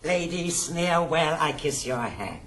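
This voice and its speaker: An actor playing a boastful, gossipy old uncle in a period stage comedy, English, effusive and pompous. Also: male voice, a little high, animated, takes it slowly, a bit bright. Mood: boastful, coaxing. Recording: 1960s studio recording with hiss.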